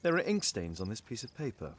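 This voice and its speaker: An actor playing a composed, analytical British detective in a video game, with a precise, deductive manner. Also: male voice, on the low side, sing-song, fast, background noise. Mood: focused, analytical. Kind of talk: dramatic video game dialogue.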